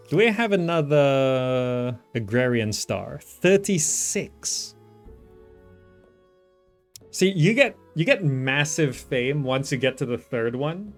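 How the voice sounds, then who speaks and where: Man musing through gameplay over music. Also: mid-range voice. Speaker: gaming video host. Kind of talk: casual gameplay commentary, thinking aloud. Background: background game music.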